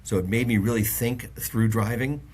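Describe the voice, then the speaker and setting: A man's voice: fairly low pitch, moderate pace, darkish tone, husky. A middle-aged man from the Bahamas, a guest on an interview show, telling long stories with lots of fillers and restarts. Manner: rambling, many fillers and restarts. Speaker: auto industry guest. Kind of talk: casual interview chat.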